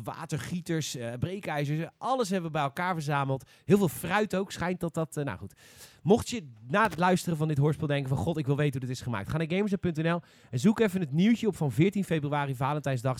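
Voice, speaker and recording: Higher-pitched man hosting a podcast. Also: expressive, brisk, few pauses, dry recording. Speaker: podcast host. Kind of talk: casual panel chat about games.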